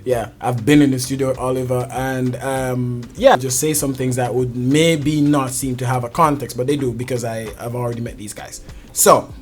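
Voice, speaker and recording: A man's voice: medium pitch, steady tone, moderate pace, soft background music. A man hosting a casual studio interview in a chatty, informal, jokey way. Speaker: show host. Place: music studio.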